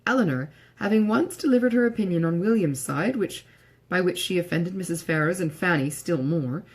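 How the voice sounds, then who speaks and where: A woman reading literary narration aloud in a measured, formal storytelling manner, with a husky, slightly creaky voice. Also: lowish voice, expressive. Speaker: audiobook reader.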